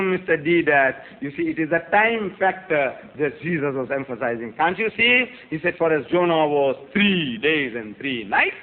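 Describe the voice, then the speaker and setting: An emphatic man whose first language is Gujarati lectures a live audience, hammering his points with repeated rhetorical questions. His voice sits high and jumps from loud peaks to quiet asides. The recording is thin and tinny, like a phone line. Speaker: public debater.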